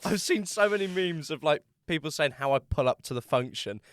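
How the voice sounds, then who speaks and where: A man's voice: fairly high pitch, expressive, brisk, fairly bright tone, no room sound, clean studio sound. A man chatting casually, hesitant and jokey, with many fillers and restarts. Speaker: podcast co-host. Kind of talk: casual group chat.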